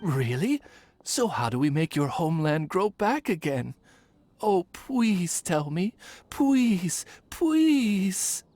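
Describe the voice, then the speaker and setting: A woman's voice: lowish voice, big pitch swings, slow pace, mellow, no room sound, exaggerated voice. A woman voicing an earnest, innocent little girl in an anime game, wide-eyed and childlike. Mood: pleading.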